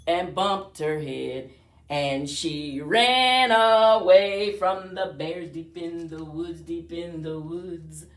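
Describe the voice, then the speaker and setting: A woman reading aloud to children as a storyteller, with an expressive, singsong delivery. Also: fairly low pitch, slow pace. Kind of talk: read-aloud storytime with rhyming chant. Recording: roomy echo, distant mic, faint music.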